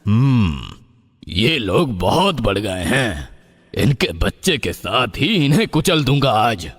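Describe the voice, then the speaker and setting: Man acting a cruel, animated bullying boss. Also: mid-range voice, slow pace, husky, full character voice. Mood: gloating menace. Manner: menacing, scornful. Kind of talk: animated moral story voice acting. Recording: long hall reverb, music behind.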